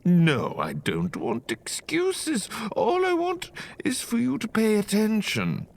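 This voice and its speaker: A man's voice: high voice, wildly swooping pitch, gravelly, full character voice. A man playing a stern, exacting potions professor at a magic academy, pedantic and scolding, in a scripted fantasy audio roleplay. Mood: exasperated, scolding. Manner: pedantic, scolding lecturer with deliberate phrasing. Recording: long hall reverb, faint noise.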